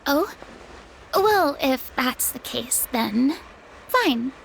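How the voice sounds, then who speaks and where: Theatrical former stage star, high voice, grandiose, dramatic, self-pitying, game voice acting. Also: female voice, animated, very slow, creaky, slight background noise, full character voice, rough recording. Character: proud, theatrical young woman, a retired stage star.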